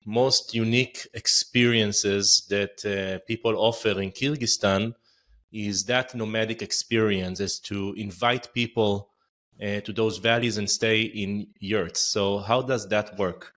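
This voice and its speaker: A man hosting a travel podcast who asks measured questions with occasional fillers. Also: lowish voice, steady tone, a bit bright. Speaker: podcast host, interviewer. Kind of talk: interview questions.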